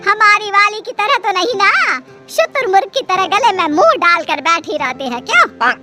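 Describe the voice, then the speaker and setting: Woman as complaining middle-aged mother-in-law, high voice, animated pitch, dramatic, sarcastic, story. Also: normal speed, over music.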